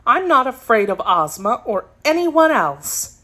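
A woman's voice: on the high side, sing-song, unhurried, bright, forceful tone, breathy edge, voice pushed up, full character voice. A woman voicing a surly, impudent female kitten in a children's storybook, curt and defiant. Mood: grudging defiance. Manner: curt, snarly.

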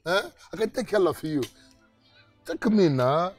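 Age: adult. Figure: a boastful rich village politician. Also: male voice, high voice, expressive, measured pace, soft background music. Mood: coaxing. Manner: blustering, boastful.

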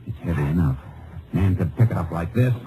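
An American actor playing a calm, needling, middle-aged Texas Ranger in laconic old-time radio western style. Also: male voice, deep voice, brisk, noisy background. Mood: needling, menacing. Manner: laconic, deadpan. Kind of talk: 1940s-50s radio western acting.

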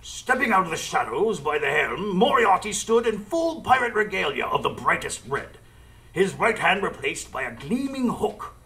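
Casting: gentleman narrator played by a man, very animated, high-pitched, dramatic storytelling for radio drama. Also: normal speed, full character voice, poor audio. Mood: dramatic, suspenseful. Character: earnest gentleman doctor narrating his adventure.

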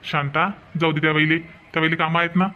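A man's voice: fairly high pitch, monotone, fast, pushed and bright. A man voicing a reasonable, mildly exasperated village son in a cartoon drama, placating and insistent, with a rural Vidarbha Marathi accent. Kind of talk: cartoon family drama dialogue. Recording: dull lo-fi sound.

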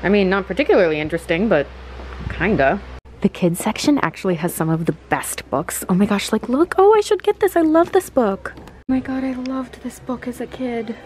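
A young adult woman chatting casually in a vlog, gushing and exclaiming in an excitable way. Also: a little high, fairly quick. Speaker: vlogger. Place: indoors, bookstores and an empty room at home. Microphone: handheld camera at arm's length. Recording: noticeable background noise.

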